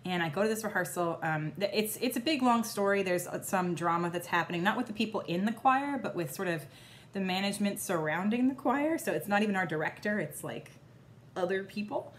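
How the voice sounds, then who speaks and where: A woman in her thirties chatting casually to camera in her home kitchen, her voice still slightly hoarse as it recovers, rambling with lots of fillers. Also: mid-range voice, lively intonation, brisk, a little hiss or hum. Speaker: vlogger. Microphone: phone or camera at arm's length.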